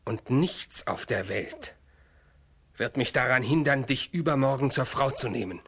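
A villainous grand duke in a radio fairy tale. His mid-pitched voice is rough and husky. He is haughty, arrogant and full of menace. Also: animated, a little slow, a bit bright, exaggerated voice. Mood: smug, threatening. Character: evil, sinister, arrogant grand duke and suitor. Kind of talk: radio play acting for children.

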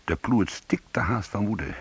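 Casting: streetwise, world-weary crook for radio noir, very raspy low voice, hard-boiled. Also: male voice, expressive, slow, dry recording, exaggerated voice. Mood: wry, bitter. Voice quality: husky, gravelly. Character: world-weary, streetwise male crook telling his own story. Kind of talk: radio crime drama, first-person narration and dialogue.

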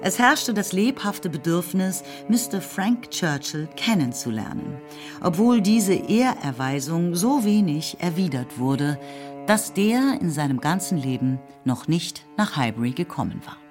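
A woman with a low voice narrates a radio play in a composed, even manner. Music plays clearly beneath her words. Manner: measured, composed. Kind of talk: scripted literary narration.

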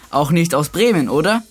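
A man's voice: on the high side, animated, measured pace, husky. A man co-hosting a kids' radio show with scripted, teasing banter. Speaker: radio show co-host for kids.

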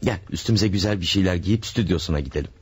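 A scheming farmer husband speaks in a low, husky voice. He sounds soothing and reassuring while he hides a murder. The sound is that of an old radio drama. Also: fast. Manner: soothing, reassuring, smooth-talking. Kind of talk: classic radio drama acting.

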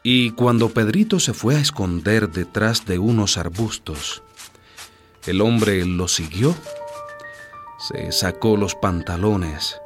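An expressive storyteller narrating a scripted radio drama. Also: low-pitched, takes it slowly. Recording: music behind the voice.

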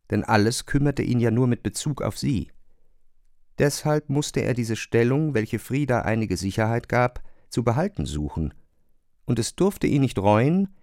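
A man's voice: mid-range voice, dark tone, dry recording. A man narrating a literary radio play from a script. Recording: dry studio.

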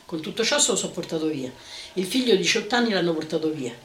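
An older woman with a Roman accent gives witness testimony at a quick pace. The room echoes and there is faint noise. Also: on the low side, animated, fairly bright tone. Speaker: witness recalling wartime. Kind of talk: spoken testimony. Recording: roomy, slightly noisy archive interview.

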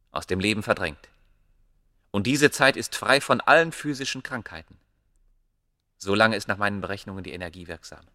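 An actor playing a proud, obsessive ancient scholar, speaking gravely and declaiming in a German radio play. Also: male voice, fairly low pitch, sharp tone, no room sound, clean studio sound. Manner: grave, declamatory, deliberate phrasing.

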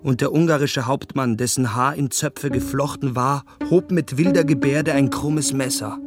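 An actor playing an earnest, dreamy young gentleman in a radio drama, speaking in an introspective, literary manner. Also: medium pitch, fairly even intonation, normal speed, lightly acted. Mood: uneasy. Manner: introspective, literary inner monologue. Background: instrumental music.

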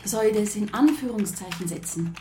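A roomy, faintly noisy radio-drama recording of an actress as a police secretary. She has a medium-pitched female voice and sounds dutiful. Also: animated, moderate pace, warm tone, close to an everyday voice. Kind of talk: radio crime drama acting.

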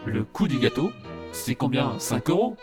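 Man playing a lively, bumbling omniscient narrator. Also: mid-range voice, normal speed, over music, natural voice. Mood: puzzled. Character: bumbling, literal-minded omniscient male narrator. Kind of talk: comic audio drama dialogue.

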